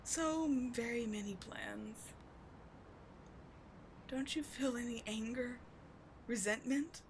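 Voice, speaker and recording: A woman playing an obsessive, devoted villain in a dramatic ASMR roleplay, speaking with theatrical intensity that moves between forceful and hushed. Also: fairly high pitch, slow pace, noisy background. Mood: fervent, vengeful. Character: obsessive, devoted female villain wooing a wounded sidekick.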